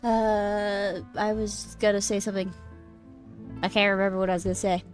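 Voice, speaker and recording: A young woman streamer giving casual let's-play commentary, excitable, laughing often and swearing freely. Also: medium pitch, normal speed, soft background music.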